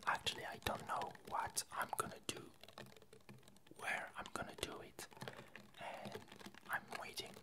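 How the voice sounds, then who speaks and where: A young woman with a French accent chatting in whispered ASMR style, hesitant and pausing often. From France. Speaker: ASMR video creator.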